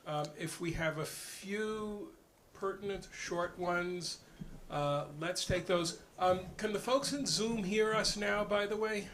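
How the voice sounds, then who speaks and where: A man presiding over a community gathering, moderating off the cuff in a halting, courteous manner. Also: high voice, a bit bright. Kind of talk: unscripted moderating.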